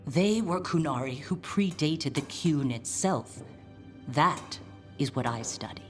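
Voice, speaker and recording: An actress playing a proud, aloof, middle-aged scholar and mother in a video game, formal and measured. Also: deep voice, lively intonation, soft background music. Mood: solemn. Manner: formal, measured, clipped disapproval. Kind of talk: video game cutscene acting.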